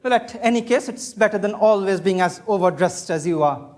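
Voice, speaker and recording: Male actor as exasperated Victorian gentleman, stern, formal, indignant, amateur stage comedy. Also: sits high, fairly quick, natural voice.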